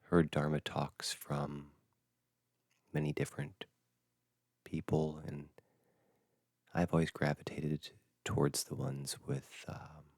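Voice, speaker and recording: Very deep, creaky, slow-spoken male meditation guide. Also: no echo, clean studio sound. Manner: gentle, hushed, halting with long pauses. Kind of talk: unscripted dharma talk for guided meditation.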